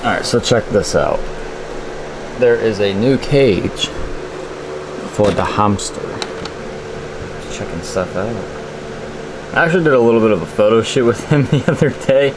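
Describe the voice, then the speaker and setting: A man's voice: on the low side, expressive, normal speed, pauses often. A man in his twenties chatting casually to a camera in a laid-back, colloquial way. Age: 20s. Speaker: vlogger. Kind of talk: casual vlog chat. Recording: noisy handheld camera audio with ambient hum.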